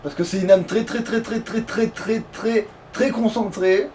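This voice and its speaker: A man's voice: high voice, steady tone, unhurried, a little mellow. A man chatting casually in crude, slangy as he comments on a video game he is playing. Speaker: let's play gamer. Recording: noisy room, distant microphone.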